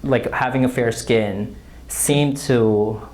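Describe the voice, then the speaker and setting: Earnest audience member from the Philippines. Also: male voice, mid-range voice, a little mellow, rough recording. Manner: earnest, slightly halting, with many fillers. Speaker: audience member asking a question. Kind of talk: prepared question from the audience.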